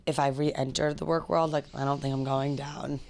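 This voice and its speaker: A woman's voice: very deep voice, level tone, gravelly, a little mellow, a little hiss or hum. A woman in her twenties co-hosting a casual podcast, rambling through her thoughts with a lot of "like". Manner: rambling, fast, heavy "like". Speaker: podcast co-host. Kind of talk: casual chat.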